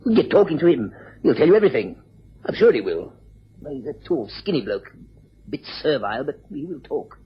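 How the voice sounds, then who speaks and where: A muffled radio drama recording. A man plays a plain-spoken barman with a very husky voice, sharing gossip cautiously in short colloquial phrases. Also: fairly high pitch, very animated, fairly quick, heavily acted. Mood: confiding. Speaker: actor in a radio mystery.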